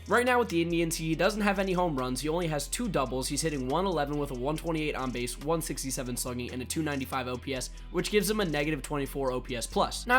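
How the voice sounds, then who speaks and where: Fast, slightly raspy man hosting sports videos. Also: fairly high pitch, fairly bright tone. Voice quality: a little raspy. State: voice slightly hoarse for now. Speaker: host of a baseball video channel. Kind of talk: scripted sports commentary to viewers. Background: background music.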